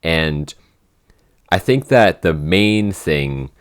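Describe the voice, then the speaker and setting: A man's voice: a bass voice, lively intonation, very slow, smooth. A young man in his twenties, co-hosting a casual Q&A chat and rambling with lots of "like" and restarts. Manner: rambling, full of "like" and restarts, easy laughs. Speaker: podcast co-host, writer and director. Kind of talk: casual behind-the-scenes Q&A chat.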